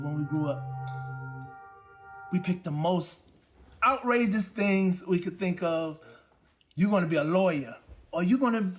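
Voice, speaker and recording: A high-voiced young man speaks slowly and tenderly in an audio drama, over clear music. He is plainspoken and halting, searching for his words as he eulogizes a friend. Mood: nostalgic. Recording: music under the voice.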